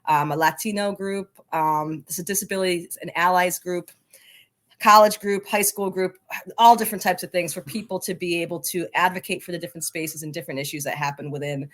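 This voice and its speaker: A woman's voice: fairly low pitch, steady tone, fairly quick, fairly bright tone. An enthusiastic, talkative woman speaking emphatically as a guest in a conversational interview. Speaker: podcast guest, soccer coaching advocate.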